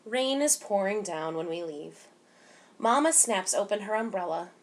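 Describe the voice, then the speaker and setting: A woman reading a picture book aloud to children in an expressive, animated way, giving emphasis to the dialogue. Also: mid-range voice, normal speed. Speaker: children's story time reader.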